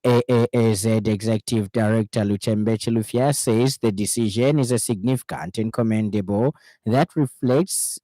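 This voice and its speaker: A man reading a scripted radio news bulletin in a formal, measured manner as presenter. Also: on the low side, warm tone, no room sound, rough recording.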